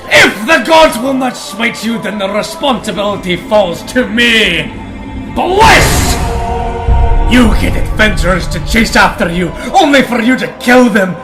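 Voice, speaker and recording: A man's voice: high voice, expressive, unhurried, music in the background. A man playing a zealous young cleric who declaims with passion in a fantasy audio drama. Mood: outraged. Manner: declamatory, impassioned. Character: righteous, zealous young male cleric adventurer.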